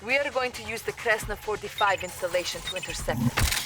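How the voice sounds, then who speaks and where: An actress playing a poised, dutiful Soviet intelligence officer, giving formal video game briefings. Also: female voice, mid-range voice, fairly quick, lightly acted. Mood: urgent. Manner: earnest, precise, formal. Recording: loud game music behind.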